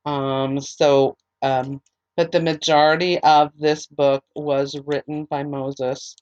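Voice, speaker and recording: Woman doing a bible study, kinda hoarse, reads verses then rambles with ums, dry dull-ish recording. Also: low-pitched, flat delivery, takes it slowly, a little mellow, no room sound. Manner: reads verses steadily, then talks loosely with many ums and restarts. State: losing her voice, a bit hoarse. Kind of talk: reading scripture aloud and explaining it. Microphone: online recorder, computer setup.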